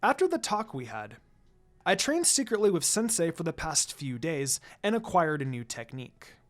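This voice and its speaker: An expressive reader telling a story in the first person. The pitch moves up and down a lot through the narration, giving it an animated feel. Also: moderate pace, faint music behind. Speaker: fan fiction reader.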